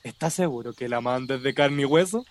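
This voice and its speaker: A man's voice: mid-range voice, quick pace, background noise, lightly acted. A man with a Chilean accent acting in a radio drama as a respectful, superstitious cemetery worker. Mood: earnest, solemn. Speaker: actor.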